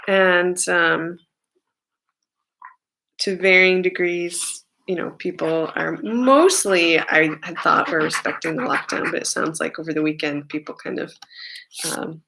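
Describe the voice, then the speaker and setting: A woman's voice: on the low side, a little slow, creaky, sharp tone, slight background noise, rough recording. A singer-songwriter woman chatting casually between songs at a concert streamed from her home, rambling and chatty with lots of fillers. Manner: rambling and chatty, many fillers and side remarks.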